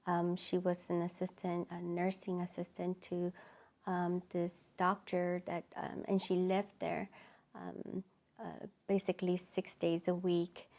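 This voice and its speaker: A middle-aged woman from El Salvador tells her personal testimony in a halting, reflective way with many pauses. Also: fairly low pitch, darkish tone. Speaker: ministry founder.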